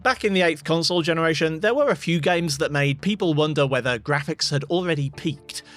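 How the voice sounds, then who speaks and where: A man's voice: on the high side, fairly quick, a bit bright, soft background music. A man giving scripted voice-over narration as a tech video host, with dry, wry asides.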